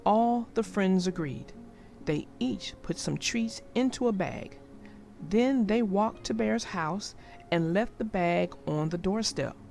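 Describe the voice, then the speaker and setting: A woman narrating a children's picture book aloud in a gentle, expressive storytelling manner. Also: medium pitch, takes it slowly, vocal fry, soft background music.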